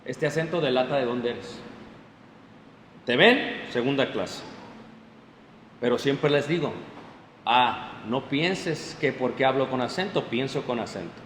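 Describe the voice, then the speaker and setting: A man's voice: medium pitch, a little slow, pauses often. Spanish-speaking man preaching in an echoey hall. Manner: animated, addresses the congregation directly with frequent checks. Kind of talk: sermon, Bible class. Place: church hall. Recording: echoey hall, faint room noise.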